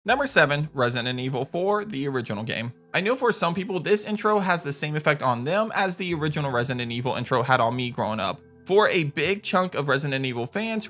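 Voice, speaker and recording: A man's voice: fairly high pitch, fairly bright tone. A man hosting a video countdown, giving scripted commentary on video games. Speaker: video host.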